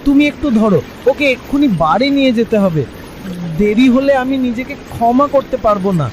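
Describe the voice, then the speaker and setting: A man's voice: very high voice, expressive, normal speed, slight background noise, rough recording. Man as an emotional, loyal village fisherman. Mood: worried. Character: loyal, anxious village fisherman and devoted friend. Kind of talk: animated moral story acting.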